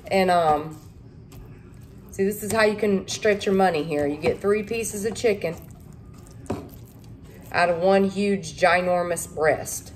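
A woman's voice: fairly low pitch, slow, pauses often, slight background noise. Chatty woman cooking at home. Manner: chatty, folksy, addresses viewers as y'all. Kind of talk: casual cook-along talk to camera. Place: home kitchen.

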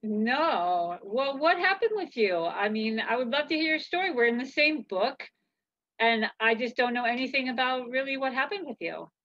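A middle-aged woman with a higher voice chats in playful role-play for children. The sound is slightly dull, coming over a computer video call. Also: expressive, moderate pace, fairly bright tone. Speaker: co-host and story reader in costume. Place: book-lined room indoors, on a video call.

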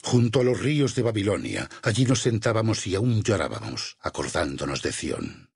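A man voicing a burned, devout warrior in a video game, solemn, measured and vengeful, with a husky, gravelly voice and a Castilian Spanish accent. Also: fairly low pitch, sing-song, no room sound, studio quality, full character voice. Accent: Castilian Spanish from Spain. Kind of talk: dramatic game character dialogue.

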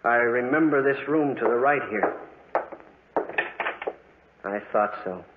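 An actor playing a horrified, agitated heir to a gloomy house in 1940s radio acting style. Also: male voice, medium pitch, slow, close to an everyday voice. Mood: alarmed. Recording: noisy, phone-like 1940s radio recording.

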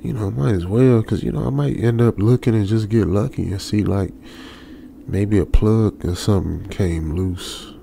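A man's voice: lowish voice, fairly even intonation, normal speed, vocal fry, mellow. A man with an American accent playing an anxious young trucker, muttering nervously to himself in a radio drama. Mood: self-reassuring. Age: young adult. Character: anxious young male trucker stranded alone. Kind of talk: ASMR radio drama monologue. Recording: faint background noise.

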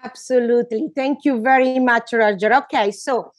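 A woman in her fifties from Italy, speaking English with an Italian accent, telling her story as an interview guest over earbud headphones, lively and expressive. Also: fairly high pitch, normal speed, no echo. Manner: lively, expressive, laughs often. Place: home room with shelves, video call. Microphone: earbud headset mic.

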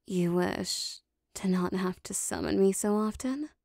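A woman playing a powerful, possessive female demon devoted to her master, speaking formally and deliberately in an ASMR roleplay. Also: medium pitch, creaky, darkish tone, no room sound, studio quality. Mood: possessive, insistent. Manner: formal, deliberate, intimate phrasing.

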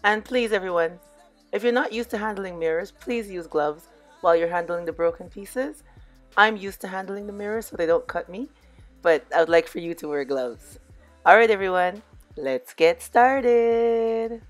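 A middle-aged woman with a hoarse voice, chatting casually as she walks viewers through a do-it-yourself crafts project. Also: medium pitch, moderate pace, faint music behind. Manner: relaxed, chatty, addresses viewers as "you guys". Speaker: DIY crafts vlogger. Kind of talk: casual crafting tutorial voice-over.

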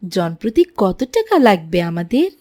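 A woman's voice: mid-range voice, very animated, unhurried, warm tone. A woman voicing a polite, keen young schoolboy in a children's moral cartoon. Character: polite, keen young schoolboy who saves his pocket money.

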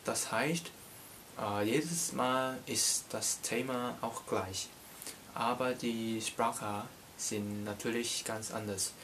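A young Cantonese-native man from Hong Kong explains his language in a small bedroom, speaking slowly in a middle-pitched voice. He hesitates often as he works out each phrase, and a faint hiss sits under the camera-recorded sound. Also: steady tone, a bit bright. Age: young adult. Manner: slow, halting, many hesitations. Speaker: language teacher. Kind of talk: casual language lesson. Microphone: camera in front at desk distance.

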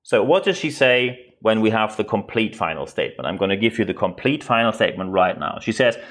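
A man's voice: on the low side, very animated, brisk, a bit bright. A man from northern Germany with a German accent, hosting a solo podcast in his second language with an emphatic, rhetorical manner. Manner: emphatic, rhetorical questions and repetition. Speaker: podcast host. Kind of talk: unscripted solo podcast commentary.

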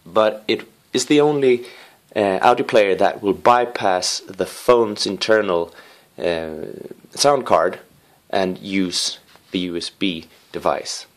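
A man giving a casual hands-on video review in a living room, rambling with apologetic asides and a playful, excitable streak. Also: low-pitched, slow. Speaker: amateur gadget reviewer. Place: living room with wooden floor and rug.

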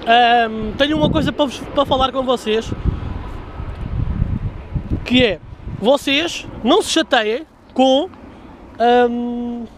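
A man's voice: extremely high pitch, slow pace, long pauses, background noise. A man in his thirties with a European Portuguese accent, vlogging outdoors on a dirt path, talking loosely to a handheld camera while walking and drinking a beer, swearing freely. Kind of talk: casual vlog to camera. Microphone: handheld camera at arm's length.

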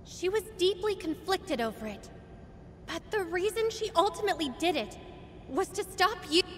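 Very high-voiced, forthright young heroine. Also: female voice, lively intonation, normal speed. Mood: exasperated. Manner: forthright, urgent, earnest. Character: bold, blunt young woman ally, quick to scold. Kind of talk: video game voice acting. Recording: long reverb, faint music.

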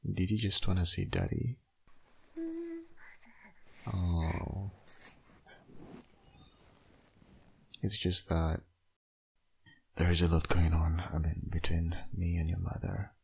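Man acting as tender wistful young father, ASMR roleplay, low gravelly voice, slow halting intimate delivery. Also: little pitch movement, slight background noise, heavily acted. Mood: soft, hesitant. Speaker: audio roleplay voice actor.

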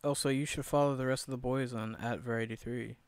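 A man's voice: medium pitch, fairly even intonation, fast, clean tone, no echo. A young man streaming a game at home on a headset, joking around, swearing and laughing with a friend. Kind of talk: casual gaming stream banter.

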